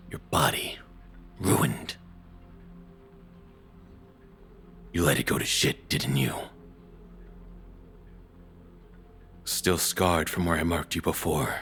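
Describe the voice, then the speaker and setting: A man playing a controlling, cruel ex-boyfriend in an intimate audio roleplay, with a husky, rough-edged voice and a taunting, domineering, deliberate delivery. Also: extremely low pitch, fairly bright tone, exaggerated voice. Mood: quiet menace.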